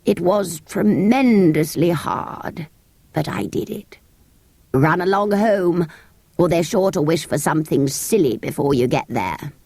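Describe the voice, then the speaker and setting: A woman's voice: on the low side, unhurried, warm tone, no room sound. A woman voicing a grumpy, weary sand fairy creature in a storybook, with a dry, curt manner. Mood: weak, exhausted.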